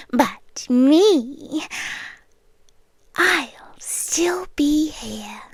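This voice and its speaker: A woman with a British accent playing a possessive, ageless creature girlfriend in an intimate ASMR roleplay, breathy and often whispering, tender yet menacing. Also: high voice, a little mellow, no echo, full character voice. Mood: possessive devotion. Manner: slow, deliberate, coaxing with lingering pauses. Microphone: close, dry ASMR microphone.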